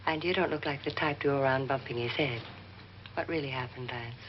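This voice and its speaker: An actress playing the cool, anxious wife of a jealous millionaire in a 1950s horror film, poised and commanding. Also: female voice, rumbling low, brisk, slight background noise. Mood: cool, probing. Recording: dull vintage film soundtrack with music.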